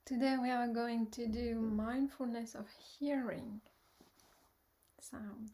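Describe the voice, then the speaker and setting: A woman teaching guided meditation, speaking gently and hesitantly with long pauses, her soft voice often trailing into breath. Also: a little high, steady tone.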